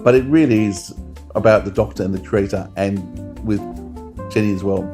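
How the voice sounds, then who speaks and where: A man's voice: lowish voice, normal speed, music in the background. A man co-hosting a podcast, giving information in casual, musing, discursive chat. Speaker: podcast co-host.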